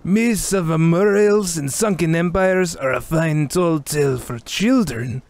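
A man's voice: a little high, a little mellow, pitched above the performer's own voice. A man voicing a wry feline ship navigator. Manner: slow, amused, knowing. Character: wry old male cat-like ship navigator.

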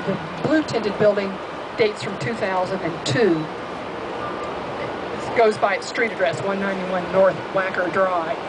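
A woman giving a guided tour commentary over a boat's speaker, explaining at length with frequent "uh" pauses. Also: mid-range voice. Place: open-air tour boat on a city river. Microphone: public address speaker, recorded from the audience. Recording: loud boat and outdoor noise. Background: boat engine and river ambience.

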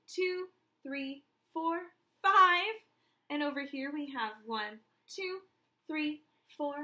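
A woman's voice: sits high, pushed and bright. A woman in her thirties reading aloud and counting for young children as a teacher, in an encouraging, sing-song way.

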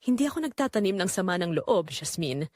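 Hurt wife for radio-style drama, woman narrator acting, quiet reproach, restrained temper, dry close sound. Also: fairly low pitch, sing-song, fairly quick, no room sound. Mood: wounded restraint. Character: hurt, restrained wife confronting her husband and caregiver.

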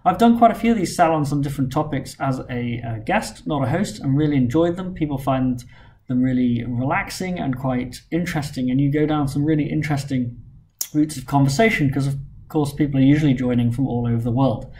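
A man's voice: medium pitch, warm tone, slight background noise. Tired man in his thirties, earnest vlog. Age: 30s. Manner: measured, earnest, plain-spoken with frequent "uh" fillers. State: fatigued from long illness. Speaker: vlogger and company founder. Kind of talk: weekly vlog update to camera. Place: home room with bookshelves, indoors.

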